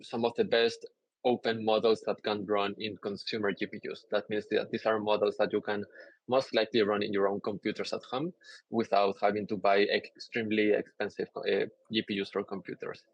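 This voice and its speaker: Low-voiced man giving a technical talk. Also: a little fast, no echo, muffled recording. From Peru. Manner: many "uh" fillers, relaxed and explanatory. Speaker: conference speaker. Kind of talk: technical talk over slides. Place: indoor room, on a video call.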